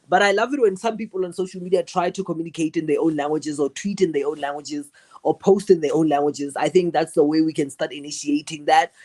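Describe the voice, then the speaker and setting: A woman's voice: sits low, a little mellow. A woman from South Africa who makes online content, speaking earnestly on a panel and laughing easily. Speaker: digital content creator. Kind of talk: casual panel discussion.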